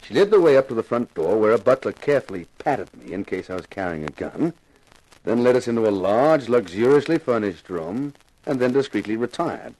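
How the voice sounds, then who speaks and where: An actor playing a wry, flirtatious American sleuth with a dry wisecracking manner, narrating and talking in a 1950s radio detective drama. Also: male voice, mid-range voice, animated, a little fast, a little mellow, natural voice, poor audio.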